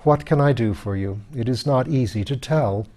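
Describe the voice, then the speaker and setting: Man as estranged skeleton wife, audiobook character, dry, sardonic, formal courtesy, cold temper, mellow voice. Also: mid-range voice, moderate pace, close to an everyday voice. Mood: cool.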